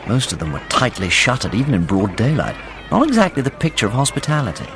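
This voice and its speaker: A man's voice: medium pitch, quick pace, background noise. A wry young Englishman narrating. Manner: wry, animated storytelling. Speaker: narrator and lead. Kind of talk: radio drama acting.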